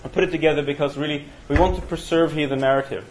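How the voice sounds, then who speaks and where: A man with a Norwegian accent leading a university study session, talking in a halting way with many fillers and restarts. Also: on the high side, steady tone, a little hiss or hum, poor audio. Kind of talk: university seminar lecture. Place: university room. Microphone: single room mic.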